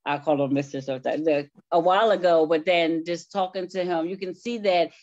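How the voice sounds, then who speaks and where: A woman's voice: fairly low pitch, steady tone, fairly quick, darkish tone, dull audio. A woman speaking as a cast guest in a casual talk show interview, emphatic and repeating her phrases as she builds a point. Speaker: stage play cast member interviewed as a guest.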